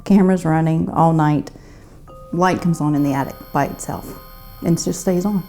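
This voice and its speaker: A woman's voice: sits low, steady tone, normal speed, warm tone, soft background music. A woman paranormal investigator recounting an incident.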